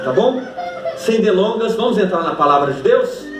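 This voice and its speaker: A man's voice: high voice, lively intonation, moderate pace, music in the background. A man preaching to his church congregation as pastor, joking and thanking members before reading scripture with emphasis. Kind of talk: sermon. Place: church hall. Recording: echoey church hall from a distance. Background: congregation ambience.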